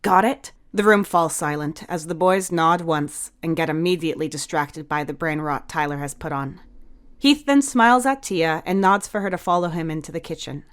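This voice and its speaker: A woman's voice: sits low, normal speed. A woman narrator reading a scripted fictional story.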